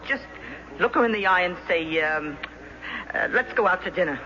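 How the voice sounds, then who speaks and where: A woman's voice: fairly low pitch, expressive, moderate pace, sharp tone. A woman playing a plain-spoken, pushy, motherly landlady in a radio drama, with a blunt, folksy, prodding manner. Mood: prodding, encouraging. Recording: dull, noisy old radio broadcast.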